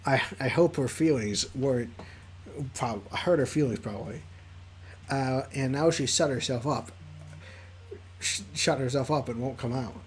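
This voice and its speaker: A streamer reading a guilt-ridden, anxious young protagonist in a low, subdued, hesitant voice. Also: measured pace, pauses often, a little hiss or hum, lightly acted. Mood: remorseful.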